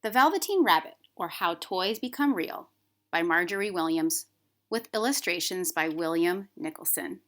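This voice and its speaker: A woman school librarian reading aloud for young children, with expressive, careful phrasing. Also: fairly low pitch, moderate pace. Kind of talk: children's storybook read-aloud.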